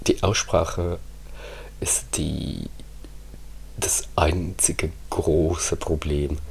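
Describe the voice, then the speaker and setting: A man with a very low voice whose first language is Italian speaks in a hushed, soft-spoken ASMR ramble. He talks very slowly and often drops into whispers, pausing and restarting his words. A faint hum sits behind him. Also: gravelly. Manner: slow, hushed, drifting into whispers, halting restarts. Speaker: ASMR creator.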